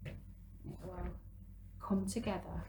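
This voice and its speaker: A woman's voice: on the low side, fairly even intonation, slow pace, gravelly, noisy background. A woman in her thirties chatting instructively through a hands-on activity for parents and young children, working as she talks. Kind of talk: casual craft demonstration for parents and young children.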